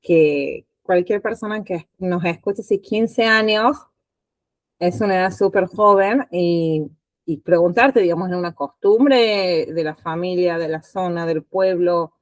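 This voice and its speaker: A woman's voice: lowish voice, unhurried, no echo. A woman, hosting an interview podcast and asking her guest questions in an informal way. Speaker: podcast host.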